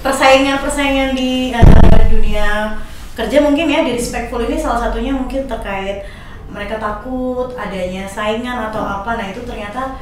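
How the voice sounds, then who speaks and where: A woman's voice: a little high, normal speed. A woman hosting a casual talk show, agreeing and summing up what others say. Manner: agreeing, summarizing others' points. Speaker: host. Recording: very echoey, distant mic, noisy.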